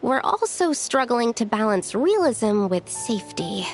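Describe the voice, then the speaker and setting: Earnest young researcher, higher voice. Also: female voice, big pitch swings, measured pace, loud music behind the voice. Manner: earnest, careful explaining. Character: young female researcher, earnest, studious and polite. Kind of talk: video game character acting.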